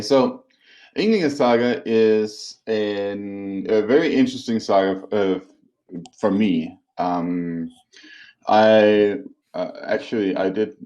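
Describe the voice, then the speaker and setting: Deep, slow man explaining. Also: warm tone. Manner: halting, hesitant, thoughtful. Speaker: academic and podcast co-host. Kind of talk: informal explanatory podcast talk.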